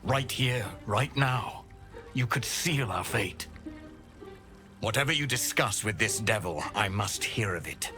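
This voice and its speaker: Grave, slow-spoken alien warrior. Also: male voice, on the low side, expressive, music in the background. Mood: solemn, urgent. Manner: solemn, urgent, measured. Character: grave, desperate male alien warrior pleading for his people. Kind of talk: video game cutscene acting.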